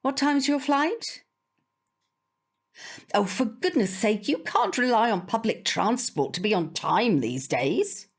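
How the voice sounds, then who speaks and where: Elderly British grandma, brisk, complaining, proud, expressive pitch swings, radio play. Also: female voice, slightly rough, heavily acted. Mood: putting on cheer, then grumbling. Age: older. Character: fussy, proud elderly grandmother, stubbornly independent. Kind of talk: audio drama acting.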